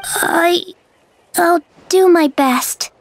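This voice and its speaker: A lost, unsure young girl in a video game. Her voice is extremely high and plaintive. She speaks slowly and hesitantly, timid in every phrase. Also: full character voice. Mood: shy, tentative. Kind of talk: English video game voice acting.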